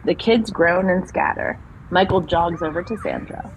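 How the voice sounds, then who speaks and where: A woman's voice: on the low side, normal speed, slight background noise, rough recording. A woman narrator reading stage directions for an audio play as scripted narration.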